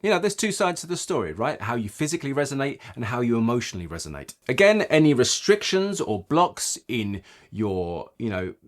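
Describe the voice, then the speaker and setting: A man giving an instructional talk as a voice coach, explaining points emphatically and checking in with short rhetorical questions. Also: mid-range voice. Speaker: voice acting coach.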